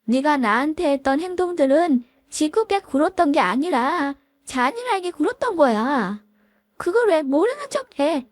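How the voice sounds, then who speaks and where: A woman voicing a long-hurt wife who confronts her childhood bully with sharp, cold retorts. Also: sits high, big pitch swings, moderate pace, dry recording, voice pushed up. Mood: accusing. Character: wife, middle-aged woman, long-hurt and now defiant.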